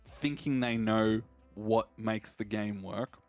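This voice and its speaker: A man's voice: lowish voice, steady tone, slow pace, faint music behind. A man hosting a podcast, discussing films in a casual, opinionated way. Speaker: podcast host.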